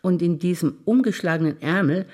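Older German woman, calm wistful recital. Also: lowish voice, brisk. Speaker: stage actor. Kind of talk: staged memory recitation.

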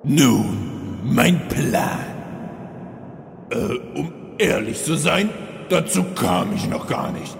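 Hammy undead evil overlord, super gravelly, voice swings all over, comedy fantasy radio play in a big echoey hall. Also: male voice, medium pitch, animated, extremely slow, exaggerated voice. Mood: smug, scheming. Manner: theatrical, hammy villain delivery. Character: undead arch-villain lord, theatrical, exasperated, comically domestic. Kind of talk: German audio drama parody. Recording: echoey hall reverb, faint noise.

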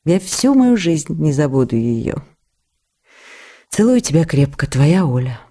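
A woman's voice: deep voice, big pitch swings, measured pace, warm tone, full character voice. An actress playing a loving, hurt and anxious wife, reading her letters in an intimate, pleading way for radio drama. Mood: tender, pleading. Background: faint music.

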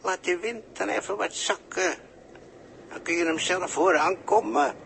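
An actor playing a taunting, menacing crewmate in a 1960s radio drama, with a gravelly voice and a mocking, knowing manner. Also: male voice, high voice, normal speed. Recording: noisy vintage 1960s radio recording.

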